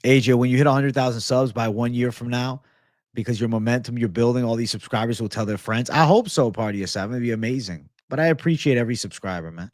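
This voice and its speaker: A man's voice: medium pitch, darkish tone, dry recording, clean studio sound. A young American man hosting a casual MMA livestream, reading viewer messages into a desk mic and chatting in a slangy, easygoing way. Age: young adult. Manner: laid-back, slangy, says bro a lot. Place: home studio room with shelves. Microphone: desk microphone in front.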